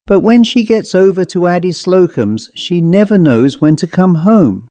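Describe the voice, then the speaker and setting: A man's voice: sits high, lively intonation, normal speed, dark tone, no echo, voice pushed up. A man voicing a hard, defiant country housewife from New England in a storybook reading, curt and scornful. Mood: careless, curt. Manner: scornful, curt, rustic phrasing.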